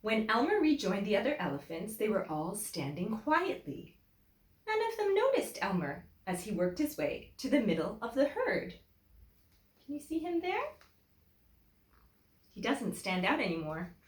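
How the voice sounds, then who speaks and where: A woman teacher reading a children's picture book aloud in an expressive, deliberate way, with emphatic phrasing for young listeners. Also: medium pitch. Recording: echoey room.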